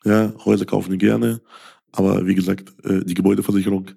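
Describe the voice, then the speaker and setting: A man's voice: low voice, flat delivery, fast, creaky, dark tone, slightly rough. A man from Ukraine, a sales coach, talking through a podcast monologue with many fillers and "ja?" tags. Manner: frequent fillers, rhetorical "ja?" tags.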